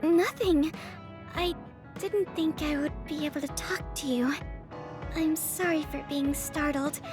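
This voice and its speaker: A sweet, high-voiced anime girl who flusters easily. It is game dialogue with faint music playing underneath. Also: slow. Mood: flustered, happy. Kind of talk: English anime game voice acting.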